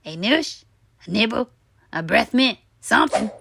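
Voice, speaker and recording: A woman's voice: on the high side, lively intonation, a little slow, creaky, noisy background. Woman voicing a whiny, demanding talking tooth. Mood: wheedling. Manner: whiny pleading and bossy scolding. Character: loud demanding talking sweet tooth, wheedling, greedy, melodramatic.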